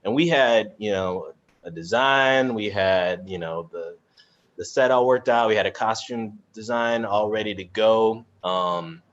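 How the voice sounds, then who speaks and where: A man's voice: lowish voice, unhurried, fairly bright tone. A man chatting casually as he moderates a panel, reflective and often searching for words, heard over a video call. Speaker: actor and moderator of a cast discussion. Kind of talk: casual conversational panel chat. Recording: dull, lo-fi video call sound.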